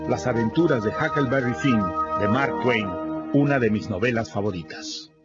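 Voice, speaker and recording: Peruvian announcer man over loud music. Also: medium pitch, moderate pace. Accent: Peruvian Spanish. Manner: formal announcing. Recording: dull audio with loud music.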